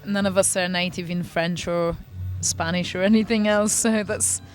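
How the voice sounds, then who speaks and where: Flat-voiced woman musician. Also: fairly low pitch. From Bulgaria. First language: Bulgarian. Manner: thoughtful, measured, with fillers. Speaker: musician guest. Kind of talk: casual interview answers. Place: festival backstage. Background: loud music.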